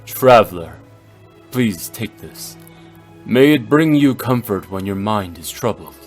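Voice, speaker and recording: A man playing a calm, learned, dignified gentleman in a video game, speaking formally and with composure. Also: fairly low pitch, slow, full character voice. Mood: calm, kindly. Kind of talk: measured game voice acting. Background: background music.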